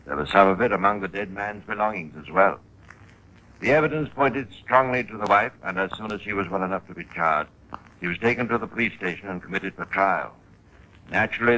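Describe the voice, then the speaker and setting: A man narrating a radio crime drama as host, in a measured, theatrical 1950s style with pointed emphasis. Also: lowish voice, sharp tone, low-quality recording.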